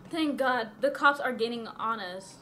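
A woman's voice: a little high, a little slow, sharp tone, slight background noise. A woman acting a bossy, exasperated bank robber, curt and scolding, in an amateur radio drama.

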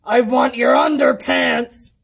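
A woman voicing a huge, mean male Tyrannosaurus in a picture book, loud and demanding. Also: on the high side, slow pace, slightly rough, full character voice, low-quality recording.

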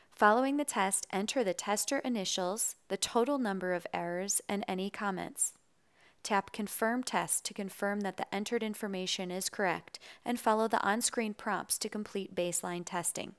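Woman, tutorial voice-over, smooth clear tone, measured instructional reading, studio mic. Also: medium pitch. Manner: even, instructional, measured delivery. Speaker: tutorial narrator. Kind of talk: scripted voice-over narration.

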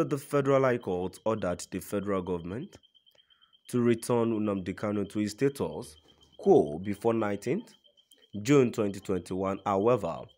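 A man's voice: fairly low pitch, darkish tone. A man narrating a scripted news report in a formal read-aloud manner.

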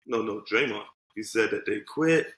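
A man co-hosting a casual sports podcast, animated and joking, swearing freely as he talks over a video call. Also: on the low side, moderate pace, roomy sound. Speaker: podcast co-host. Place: home room. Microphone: headset on video call.

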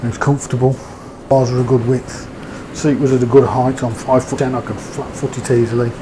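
Man, mid-pitched, casual rambling review, ums and uhs, noisy background hiss. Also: little pitch movement, brisk. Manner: chatty, hesitant with fillers. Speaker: motovlogger.

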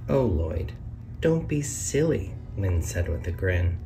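A man reads a children's picture book aloud, slow and unhurried, his voice mellow and soft. His pitch swings widely in a sing-song way, rising on each question and reveal. He sits a little back from the mic, and faint music plays behind him. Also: sing-song, creaky, far from the mic. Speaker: read-aloud narrator. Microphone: camera over the book, hands on pages.

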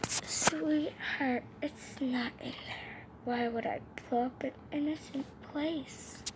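A woman's voice: high-pitched, steady tone, slow pace. A young woman improvising role-play narration over a video game in a playful sing-song manner, recorded on a phone microphone. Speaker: host of a gaming channel. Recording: noisy amateur phone screen recording.

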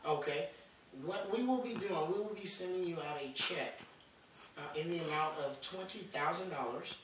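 A middle-aged man playing a formal, official benefits agent in a halting phone manner for a prank call. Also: fairly high pitch, lightly acted. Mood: businesslike. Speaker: prank caller. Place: home kitchen and living room, indoors. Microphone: phone camera across the room. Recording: distant phone-camera recording, echoey room, noisy.

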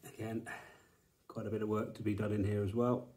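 A man's voice: fairly low pitch, flat delivery, a little fast, mellow. Man doing a dry garage walkaround. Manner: matter-of-fact, dry understated remarks. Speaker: car restorer, channel host. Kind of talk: walkaround commentary while filming. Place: indoor garage workshop.

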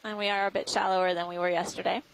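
Very creaky-voiced woman commentator. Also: mid-range voice, level tone, racing. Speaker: expedition scientist narrating dive. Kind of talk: live commentary on a deep-sea dive. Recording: faint background noise.

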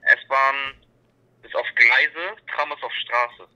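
A man's voice: medium pitch, steady tone, slow pace, sharp tone, low-quality recording. Cocky young man with street slang. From Berlin. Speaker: caller in a random chat. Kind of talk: casual phone chat.

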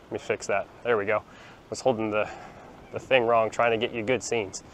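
A man's voice: fairly low pitch, a little fast, slight background noise, rough recording. Man walking and talking outdoors to a phone. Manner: chatty and relaxed, with frequent ums and restarts. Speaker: vlogger showing a beach town. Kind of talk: casual walking tour talk to camera. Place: outdoors, sunny beachfront plaza and beach. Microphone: phone held at arm's length, small clip-on mic.

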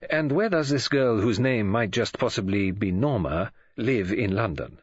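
A man voicing a courteous older male detective in an audiobook, speaking formally, precisely and with deliberate care. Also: lowish voice, lively intonation, slightly rough, dry recording, dull audio. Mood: probing. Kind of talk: audiobook character dialogue.

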